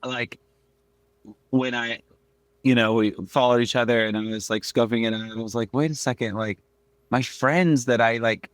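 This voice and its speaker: Thirties man, podcast guest, lively and chatty, mid-pitched, dry sound. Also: moderate pace, no room sound. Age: 30s. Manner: animated, peppered with "like" and "dude". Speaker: guest musician. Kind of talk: casual podcast chat. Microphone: remote call.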